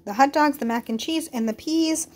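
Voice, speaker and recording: A woman vlogging casually as she cooks at home, chatty and relaxed with frequent ums, her voice a little congested. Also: high voice, normal speed. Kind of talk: casual kitchen vlog narration. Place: home kitchen.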